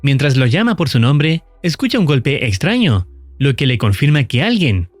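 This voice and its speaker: A man giving scripted, explanatory narration in a measured, formal storytelling manner. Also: mid-range voice, very animated, dry recording, faint music behind. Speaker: narrator of a horror series recap.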